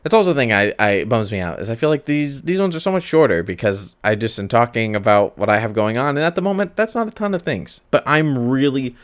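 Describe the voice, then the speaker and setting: A man's voice: mid-range voice, sing-song, fast, few pauses, clean tone, no echo, studio quality. A man hosting a podcast solo in casual chat, rambling and cutting himself off with self-aware asides. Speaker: podcast host.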